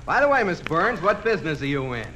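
A man's voice: a little high, sing-song, quick pace. A man playing a jovial, condescending old flame in an old-time radio comedy. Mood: hearty, smug. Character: hearty, smug old flame of the wife. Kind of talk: 1940s radio comedy acting. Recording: faintly noisy old-time radio recording.

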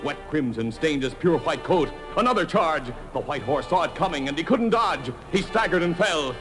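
A man's voice: a little high, animated, normal speed, a bit bright. A man narrating an old-time radio drama in a dramatic, scripted style. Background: dramatic music.

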